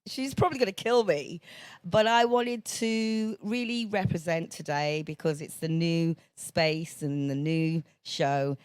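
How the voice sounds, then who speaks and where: A woman's voice: lowish voice, steady tone, unhurried, darkish tone, clear, resonant tone, no room sound. Woman podcast host, effusive, encouraging, dry close sound. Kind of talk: casual interview hosting. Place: podcast studio.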